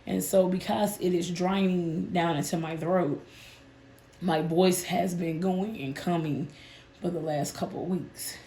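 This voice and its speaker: Woman, 30s, hoarse nasal voice, low-pitched, casual vlog chat, echoey room. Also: fairly even intonation, a little slow, warm tone, slight background noise. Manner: chatty, addresses viewers as "y'all". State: hoarse and nasal from a sinus illness. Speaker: budgeting channel host.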